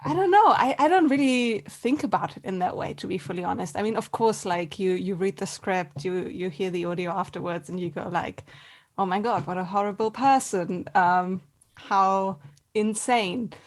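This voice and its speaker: A woman speaks as a guest on a podcast. Her voice sits in the middle range. She is reflective and conversational, pausing and hesitating as she thinks through each answer. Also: mid-range voice. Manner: thoughtful, hesitant. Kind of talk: casual reflective chat.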